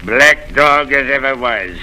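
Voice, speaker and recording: A sly wheedling pirate. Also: male voice, mid-range voice, slow pace, sharp tone, rough recording. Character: menacing sly old pirate, wheedling man. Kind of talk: 1930s radio drama acting. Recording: old radio broadcast with music.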